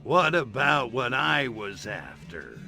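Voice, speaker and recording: An actor playing a brash, lecherous alien invader in a video game, with a cocky, sarcastic, slangy delivery. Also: male voice, mid-range voice, very animated, slow pace, gravelly, sharp tone, soft background music, full character voice. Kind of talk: video game cutscene acting.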